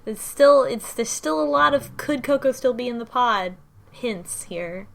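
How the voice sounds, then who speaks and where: A woman's voice: a little high, lively intonation, unhurried, creaky. A woman co-hosting a casual book club discussion about a game, recounting a story with wry asides. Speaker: podcast co-host.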